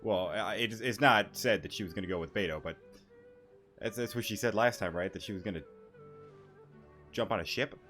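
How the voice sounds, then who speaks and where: A man giving casual let's-play commentary, rambling and halting, with a joking manner. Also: lowish voice, fairly bright tone. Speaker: gaming YouTuber. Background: game music.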